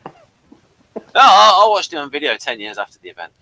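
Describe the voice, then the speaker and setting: A man's voice: a little high, big pitch swings, brisk, bright, forceful tone, low-quality recording. A man hosting a casual review chat, rambling with self-conscious little asides. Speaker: podcast host reading an episode summary and discussing it.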